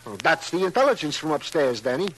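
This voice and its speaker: High-voiced, comically officious police sergeant. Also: male voice, sing-song, fast, fairly bright tone, soft background music, rough recording. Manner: mock-formal, stilted official phrasing. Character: comical, verbose, cheerful male police desk sergeant. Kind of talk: 1950s radio crime drama acting.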